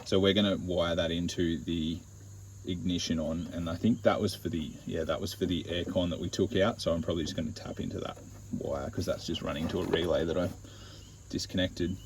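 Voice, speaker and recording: A man's voice: deep voice, steady tone, fairly quick, slight background noise, rough recording. An Australian man casually explaining a hands-on DIY install in a laid-back, matter-of-fact way. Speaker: DIY van-build vlogger.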